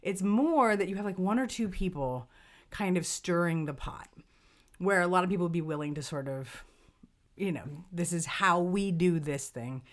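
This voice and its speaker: Lowish woman cohost, chatty and thoughtful, tosses out questions. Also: normal speed. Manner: thoughtful, poses questions, lively emphasis. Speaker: podcast co-host. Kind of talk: casual conversational chat.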